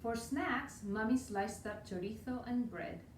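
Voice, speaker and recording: A woman from Spain reads a picture book to children, her Spanish accent clear and her delivery lively and rhythmic. She sits some way from the camera in a small room with a roomy echo. A faint hiss sits under her voice. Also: on the high side, unhurried, distant mic. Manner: lively, rhythmic read-aloud with Spanish words. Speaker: storytime reader. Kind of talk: reading a picture book aloud. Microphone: far camera.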